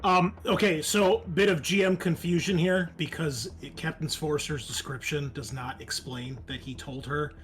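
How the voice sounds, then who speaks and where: A man's voice: on the high side, fairly even intonation, normal speed, few pauses, fairly bright tone. A man acting as game master, explaining a tabletop roleplaying game in a hesitant, conversational way.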